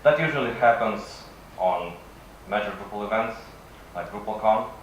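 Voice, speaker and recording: A man's voice: fairly low pitch, lively intonation, normal speed. Russian guy doing a conference talk, kinda hesitant, really distant mic w/ big room echo. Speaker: conference speaker. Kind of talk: conference presentation, semi-improvised. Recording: echoey room from far off, faint noise.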